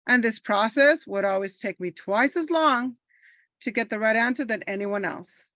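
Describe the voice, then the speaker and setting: A woman's voice: on the high side, moderate pace, no room sound, highs cut off. Earnest woman telling her own story. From Los Angeles, California. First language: Spanish. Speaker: army veteran performing a monologue. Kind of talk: personal monologue performance.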